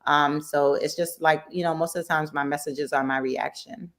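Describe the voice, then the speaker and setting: A woman in her thirties from Jamaica, raised in Brooklyn, talking as a guest entrepreneur in a relaxed, chatty radio interview. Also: deep voice, normal speed. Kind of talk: casual interview chat. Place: radio studio.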